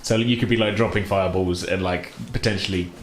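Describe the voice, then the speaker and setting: A man acting as game master, narrating the game and explaining the rules in a relaxed, joking way. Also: deep voice, fast talker, background noise. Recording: echoey room.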